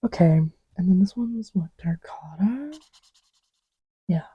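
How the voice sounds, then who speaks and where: A woman playing a scatterbrained sweetheart girlfriend, soft whispery voice, unhurried, playful self-deprecating mumbling, intimate dry roleplay audio. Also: fairly low pitch, expressive, slightly rough, no room sound, dull audio, full character voice. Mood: absorbed, playful. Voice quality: soft-spoken, often whispery. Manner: rambling, playful, self-deprecating, often drops to a murmur. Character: affectionate, scatterbrained girlfriend testing markers on her boyfriend's lap. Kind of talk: casual, improvised-sounding girlfriend roleplay audio with ASMR feel.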